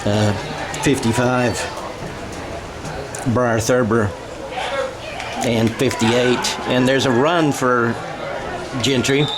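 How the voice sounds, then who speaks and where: Male, American accent, football play-by-play announcer, conversational, self-correcting, mid-pitched, noisy outdoor recording. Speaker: radio-style play-by-play announcer. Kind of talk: live football play-by-play commentary. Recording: noisy outdoor game sound.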